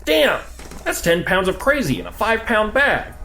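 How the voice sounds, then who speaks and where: A curious, irreverent junior colleague in a horror audio drama. The voice is high and swoops dramatically over music. The delivery is informal and full of questions. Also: male voice, wildly swooping pitch, normal speed, pushed and bright, exaggerated voice. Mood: curious, amazed. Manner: inquisitive, informal.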